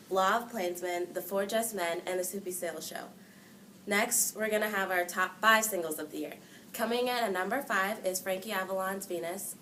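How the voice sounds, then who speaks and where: A woman presents entertainment news as a scripted countdown for an amateur newscast. Her pitch is average for a woman, and there is slight noise behind her. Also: a bit bright, rough recording. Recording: faint background noise.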